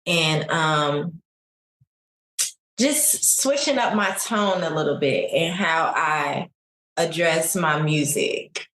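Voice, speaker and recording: A young adult woman from Chicago, a singer, talking in a relaxed, laid-back way in a casual interview. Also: low-pitched, takes it slowly. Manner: relaxed, laid-back, many likes and you knows. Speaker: singer guest. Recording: echoey room.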